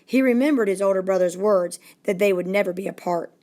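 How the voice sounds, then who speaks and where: A woman reading a story aloud as a narrator, in a deliberate, dramatic storytelling manner. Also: medium pitch, warm tone. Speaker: storybook reader. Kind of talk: expressive read-aloud narration.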